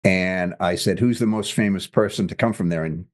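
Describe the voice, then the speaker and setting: Male podcast host, lowish voice, chatty, jokey, rambling questions. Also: normal speed. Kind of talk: interview hosting.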